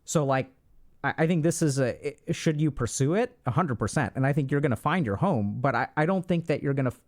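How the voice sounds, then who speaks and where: A man's voice: mid-range voice, lively intonation, brisk. A man hosting a casual conversation, speaking directly and confidently while he thinks aloud. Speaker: host.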